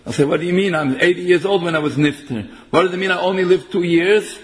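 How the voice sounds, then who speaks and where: A man's voice: high-pitched, fast. A man giving a sermon, mixing in Hebrew terms and half-chanting verses. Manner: English mixed with Yiddish and Hebrew terms, chanting some verses. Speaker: rabbi giving a Torah talk. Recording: long hall reverb.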